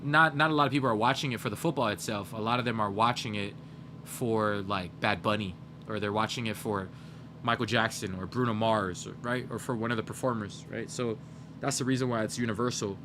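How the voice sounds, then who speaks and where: A young man livestreaming, chatting casually with his viewers and rambling as he brainstorms jokes out loud. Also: lowish voice, fairly quick, noisy background. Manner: rambling, brainstorming jokes aloud, talking to chat. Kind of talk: casual chat with live viewers.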